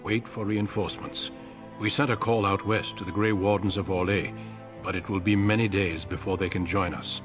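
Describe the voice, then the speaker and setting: An actor playing a grave, seasoned middle-aged warrior commander in a fantasy game, measured, formal and courteous. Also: male voice, sits low, fairly even intonation, music in the background, lightly acted. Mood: solemn, measured. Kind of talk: fantasy video game acting.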